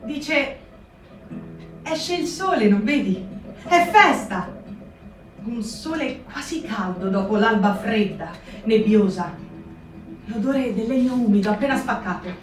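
A woman's voice: fairly high pitch, expressive, slow pace, pauses often, soft background music. A woman giving a performed literary reading, narrating in an intimate, vivid way. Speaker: reader. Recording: roomy live stage, distant mic.

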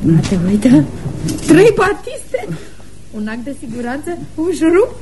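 Playful, high-voiced teenage girl in love. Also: sing-song, a crowd in the background. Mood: playful, curious. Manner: teasing, frank, girlish. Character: playful, frank, impulsive schoolgirl of almost eighteen in love. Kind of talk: radio theatre acting.

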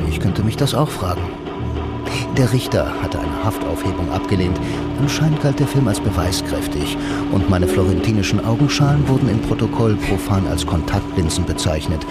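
A man's voice: fairly low pitch, animated, normal speed, loud music behind the voice, natural voice. An actor playing a blind man who narrates his murder case in a brooding, laconic radio noir style. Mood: brooding, reflective. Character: blind male bank employee, lonely noir narrator accused of murder. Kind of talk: radio drama first-person noir narration.

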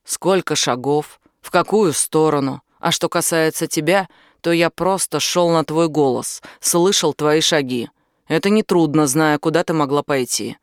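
A woman's voice: low voice, a little slow, no room sound, studio quality. A woman reading an audiobook, voicing a blunt, commanding and protective man who explains things firmly. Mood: calm, explaining. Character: angry, protective British man, outdoorsy and knowledgeable. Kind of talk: audiobook character dialogue.

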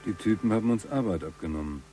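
An actor playing a cold-blooded, businesslike gangster in a German radio crime drama. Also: male voice, fairly low pitch, fast talker, warm tone, faint music behind, lightly acted. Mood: dismissive, cool. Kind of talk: German radio play dialogue.

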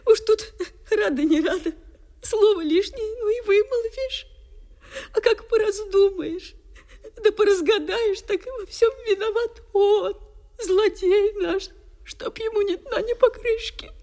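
Plaintive, high-voiced poor old woman. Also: measured pace, dark tone. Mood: tearful lament. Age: older. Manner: folksy, wailing, coaxing speech. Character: plaintive, superstitious poor old Russian woman, scolding yet tender. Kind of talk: Russian radio drama acting.

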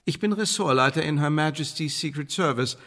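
An actor in a German radio drama playing a smooth, menacing Elizabethan English secret service chief, speaking in pompous, formal officialese with veiled threats. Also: male voice, on the high side, fairly quick, a bit bright. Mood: coolly threatening. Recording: studio radio play with long reverb.

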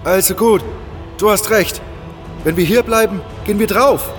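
A battle-worn guard from a fantasy audio drama , acted by a male narrator, pitched well up and loud with strain. He sounds like a desperate warrior, with music underneath. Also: normal speed, big-room sound, natural voice. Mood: resigned, urgent.

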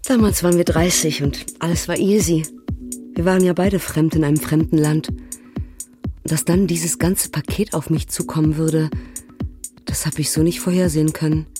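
Woman playing a German expat architect, very low female voice, exasperated, colloquial, music underneath, radio play. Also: steady tone, moderate pace, mellow, natural voice. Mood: exasperated, wry. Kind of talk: documentary radio play monologue. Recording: music behind the voice.

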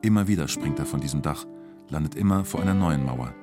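Tiefer, nüchterner Hörspiel-Erzähler. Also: male voice, very deep voice, animated, quick pace, over loud music. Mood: sober, reflective. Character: sober male narrator and formal police interrogator. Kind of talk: scripted radio drama narration.

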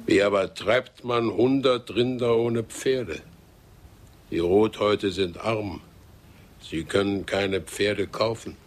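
An actor playing a grave, cunning Comanche chief in a radio drama, speaking in solemn, ceremonious phrases. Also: male voice, lowish voice, slight background noise. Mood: plaintive, bargaining. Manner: solemn, measured, formal third-person speech. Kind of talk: German radio drama acting.

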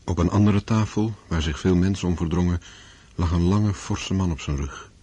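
A man narrating a war story in a radio drama, measured and sombre. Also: deep voice, warm tone, breathy edge. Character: sober storyteller of a war novel.

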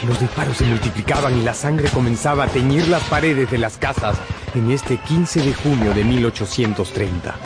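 A man's voice: mid-range voice, normal speed. A man narrating a radio drama in a scripted, dramatic storytelling style. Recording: prominent music under the voice.

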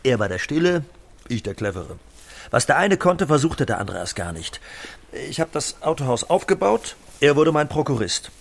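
An actor playing a self-assured middle-aged car dealer, chatty, expressive and cajoling, in a naturalistic audio drama. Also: male voice, mid-range voice, moderate pace, gravelly. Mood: aggrieved.

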